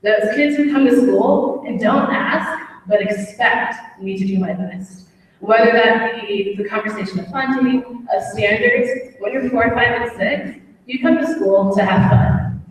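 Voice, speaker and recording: A woman teacher addresses a public meeting with a croaky voice, speaking with heartfelt conviction and repeating phrases for emphasis. Her pitch sits in a middle range. She sounds far from the mic, and heavy echo with a long tail fills the large hall around her. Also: unhurried. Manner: heartfelt, speechlike, builds with repetition. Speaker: early childhood teacher. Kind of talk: public comment at a town hall.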